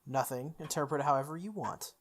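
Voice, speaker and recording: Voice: medium pitch, fast talker, slight background noise, close to an everyday voice. Performer voicing a composed, dry male servant. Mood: aloof. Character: unflappable, polite but dry male servant warrior. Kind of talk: visual novel read-aloud. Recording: music behind the voice.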